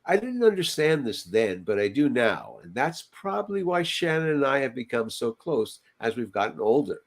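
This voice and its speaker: A man's voice: medium pitch, big pitch swings, normal speed. Older guy podcast cohost, voice goes up and down a lot, reading n chatting. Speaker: podcast co-host. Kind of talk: reading aloud and casual panel chat. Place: home room, on a video call. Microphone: headset.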